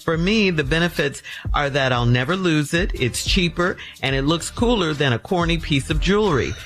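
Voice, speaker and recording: Lady morning radio cohost, lively voice, casual banter, bit of music under it. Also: low-pitched, normal speed. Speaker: radio show co-host. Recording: music playing behind the voice. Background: background music.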